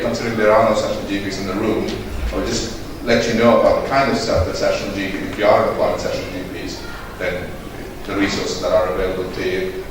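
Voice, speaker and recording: A man, a family doctor and guest speaker, giving a conversational talk to a room of listeners while getting over a cold. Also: low voice. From northern England. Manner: conversational, interactive, asks for a show of hands. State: recovering from a cold. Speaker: GP and medical director, guest speaker. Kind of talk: conference presentation to an audience. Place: large dim hotel function room. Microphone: handheld mic, filmed from a distant camera. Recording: echoey room from far off, noisy.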